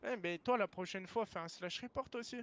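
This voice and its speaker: A man's voice: sits high, fairly quick, a bit bright, dry recording. A man arguing casually in online game voice chat, slangy, blunt and defensive. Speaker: game server founder.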